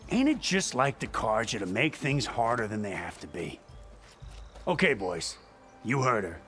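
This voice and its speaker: A gruff, blustering mercenary boss in a game cutscene. His voice is bright and forceful, and it swings widely up and down as he boasts and bullies, with game music low beneath. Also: sing-song, moderate pace. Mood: mocking. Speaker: mercenary leader. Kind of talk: video game cutscene acting.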